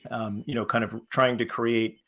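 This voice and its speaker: Halting, even-toned man on a panel. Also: medium pitch. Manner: halting, word-repeating, thoughtful delivery. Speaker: panelist, fiction podcast creator. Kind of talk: panel discussion, unscripted talk.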